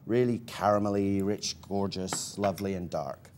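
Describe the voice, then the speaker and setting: A man from Malaysia giving a relaxed cooking demonstration on a TV show, chatty and lingering over his food descriptions. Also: deep voice, normal speed, slight background noise. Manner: chatty, lingering on food words with many ums. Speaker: cooking guest. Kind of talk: casual cooking demonstration chat. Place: TV studio kitchen.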